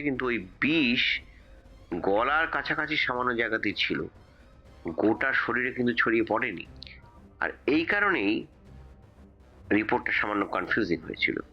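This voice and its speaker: A man playing a calm, businesslike investigator reporting over the phone in an audio detective drama, measured and explanatory. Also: medium pitch. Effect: telephone filter. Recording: muffled phone-filtered voice over music.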